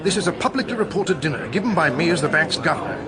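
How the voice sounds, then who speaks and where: An actor playing a pompous, exasperated British bank governor in a radio drama, formal and indignant in manner. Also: male voice, on the high side, expressive, fast, crowd noise, lightly acted.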